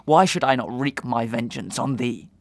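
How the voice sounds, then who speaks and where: A man performs a wronged, despairing young gentleman from a Victorian stage melodrama. His middle-pitched voice has a pronounced creak, and he declaims every phrase with noble, archaic passion. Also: moderate pace, no room sound, natural voice. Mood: outraged, desperate. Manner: declamatory, archaic, impassioned delivery. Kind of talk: Victorian stage melodrama acting in an audio drama.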